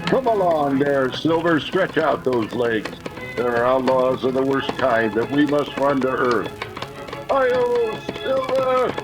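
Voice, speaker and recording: A man's voice: medium pitch, animated, slow pace, music in the background, rough recording. An American man playing a bold, heroic masked cowboy urging on his horse in an old radio serial. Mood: heroic, rousing. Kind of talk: 1930s radio serial acting.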